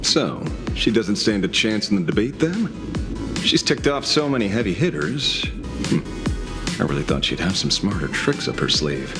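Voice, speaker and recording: A man's voice: on the low side, big pitch swings, normal speed. An actor playing a cocky, easygoing showman in a video game, with a breezy, teasing, theatrical delivery over music. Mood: skeptical. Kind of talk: scripted video game voice acting.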